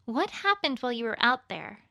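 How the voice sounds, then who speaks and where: A woman's voice: a little high, very animated, fairly quick, gravelly, sharp tone, exaggerated voice. A woman voicing an earnest, bossy, studious unicorn mare with an insistent, worried manner, in a scripted story reading. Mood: concerned. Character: earnest, bossy studious female unicorn pony, anxious leader.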